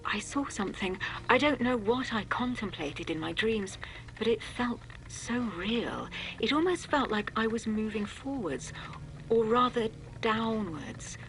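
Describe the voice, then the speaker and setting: A woman's voice: fairly high pitch, expressive, a little slow, fairly bright tone, a little hiss or hum, poor audio. A woman playing an earnest, uneasy child therapist in a video game. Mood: shaken, hushed.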